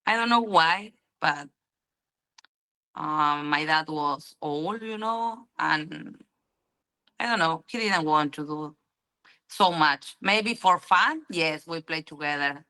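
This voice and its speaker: A young woman from Argentina with an Argentine Spanish accent, a guest in an interview, answering in halting English full of ums and "you know.". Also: deep voice, pushed and bright, low-quality recording. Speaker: interview guest, pool player.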